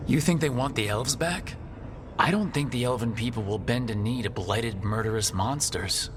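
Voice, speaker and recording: An actor playing a young, self-doubting hero in a video game, earnest and plain-spoken. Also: male voice, medium pitch, moderate pace, big-room sound, background noise, natural voice. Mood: determined, questioning.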